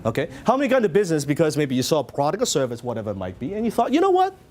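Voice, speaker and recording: A man's voice: fairly high pitch, racing. A middle-aged man with a Hong Kong accent, speaking to a live seminar audience and asking them questions as he teaches. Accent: Hong Kong Cantonese. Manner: lively, interactive, asks the audience questions.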